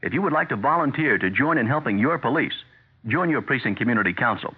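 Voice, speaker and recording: An American man with a husky voice reading a scripted public service announcement as a police sergeant. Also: medium pitch, lively intonation, quick pace. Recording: tinny narrow-band radio broadcast.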